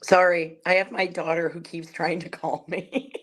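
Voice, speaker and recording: Female trade guest, hoarse, half-gone voice, informal chat in a casual panel discussion. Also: lowish voice, flat delivery, moderate pace, a bit bright. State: losing her voice, hoarse. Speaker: guest, comics distributor executive.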